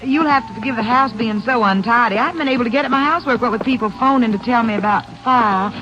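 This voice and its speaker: An actress playing a guarded, uppity farm wife in an old-time radio drama, proper, clipped and defensive. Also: female voice, a little high, quick pace, lightly acted. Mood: guarded, polite. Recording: muffled, noisy old radio broadcast.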